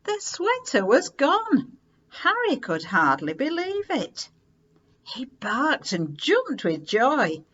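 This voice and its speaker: A woman reading a children's story aloud in an expressive, unhurried way with dramatic emphasis. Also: high-pitched, husky, lo-fi sound.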